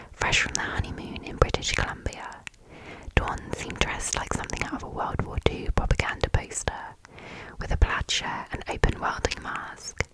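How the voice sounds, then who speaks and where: A woman reading a story aloud in a soft, fully unvoiced ASMR whisper, close to the microphone. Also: rough recording.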